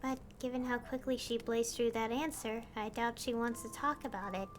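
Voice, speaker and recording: A woman's voice: on the high side, steady tone, normal speed, soft background music, natural voice. An actress playing a curious, thoughtful young female traveler who asks questions and muses to herself. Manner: thoughtful, inquisitive.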